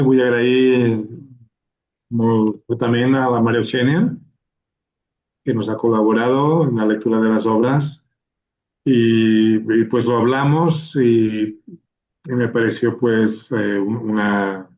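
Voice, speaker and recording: Halting man, slow and echoey. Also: mid-range voice, steady tone, darkish tone, muffled recording. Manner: halting, many fillers and restarts. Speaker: organizer and host of a literary award ceremony. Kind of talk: hesitant ceremonial remarks, switching to Catalan.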